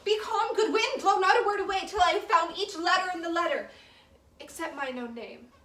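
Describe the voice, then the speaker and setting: A woman's voice: very high-pitched, fairly quick, a bit bright. A woman acting a passionate, lovesick young noblewoman in a theatrical Shakespearean stage monologue, dramatic and emphatic, lingering on words. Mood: anguished, impassioned. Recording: roomy, distant mic, faint background noise.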